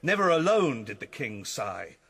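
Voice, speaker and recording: An actor playing a deferential, earnest courtier in a Shakespearean radio drama, with a husky voice and formal declamation. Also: male voice, mid-range voice, big pitch swings, measured pace, fairly bright tone, full character voice. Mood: earnest, solemn. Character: deferential, earnest male courtier flattering his king.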